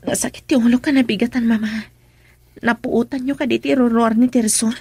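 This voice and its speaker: A woman's voice: a little high, fairly even intonation, brisk, dark tone, lightly acted. An actress playing an upset, jealous young married woman in an Ilocano radio drama, with an Ilocano accent and an emotional, exasperated delivery. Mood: troubled, complaining.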